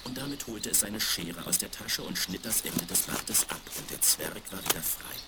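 A man's voice: low voice, lively intonation, moderate pace. A man reading a scripted fairy tale for children as the narrator of a radio play. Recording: crackly, very noisy 1970s vinyl record.

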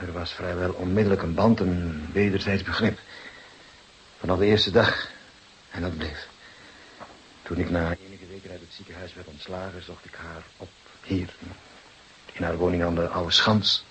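An actor playing an anxious, defensive married gentleman in a Dutch radio drama, with halting, emotional speech. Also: low-pitched, flat delivery. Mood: wistful. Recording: hissy, noisy old recording.

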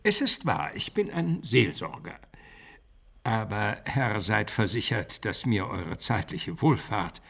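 A man's voice: fairly low pitch, very animated, slow, gravelly, a bit bright, exaggerated voice. An older actor playing a learned, fatherly, admonishing pastor in a literary radio drama, with formal, preachy phrasing.

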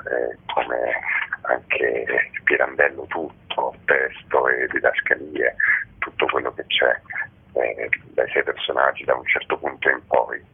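A man giving halting, measured, reflective answers as a theatre director interviewed over a tinny telephone line. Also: extremely low pitch, flat delivery, creaky, slightly rough. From Rome, Italy. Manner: halting, measured, with pensive pauses. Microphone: phone call.

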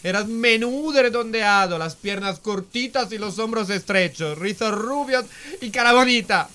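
A man acts out a sulky, self-conscious teenage boy in an audio drama. His voice is high and bright, and he grumbles defensively over a sizzling kitchen sound. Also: normal speed, a little hiss or hum. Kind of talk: audio fiction acting.

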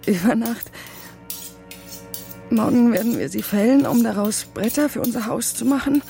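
An actress playing a desperate, fiercely devoted lover in a poetic radio drama, speaking in insistent, incantatory short pleas. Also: female voice, on the high side, expressive, normal speed, vocal fry, warm tone, soft background music. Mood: pleading, visionary.

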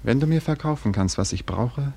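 An actor in a German radio drama playing a proud, dignified young Malay prince who speaks formally and with measured care. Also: male voice, mid-range voice, lively intonation, gravelly, dark tone, husky. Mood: courteous, grave.

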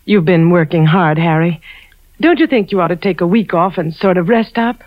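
A woman playing a concerned, excitable wife in a 1940s radio drama. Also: on the low side, moderate pace, no room sound, close to an everyday voice. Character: concerned wife, uneasy and excitable about fire. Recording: muffled 1940s radio recording, faint music.

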